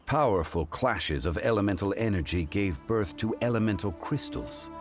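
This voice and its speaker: An actor playing a calm, formal man with an ancient, scholarly air who speaks in a measured, unhurried way. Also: on the low side, over music.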